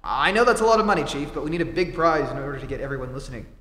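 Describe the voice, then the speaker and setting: Earnest, persistent reporter played by a man, higher-pitched male voice, vintage radio drama, roomy hall. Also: racing, fairly bright tone, close to an everyday voice. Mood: eager. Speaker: amateur theatre actor. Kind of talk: stage re-enactment of 1940s radio acting. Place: theatre stage, live performance. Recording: echoey hall, faint background noise.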